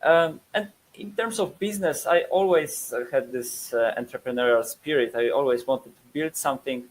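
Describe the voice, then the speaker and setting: A man's voice: medium pitch, normal speed, rough recording. Polish-accented man giving a webinar. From Poland. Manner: hesitant, many fillers and repeated words. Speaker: webinar presenter. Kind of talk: webinar presentation.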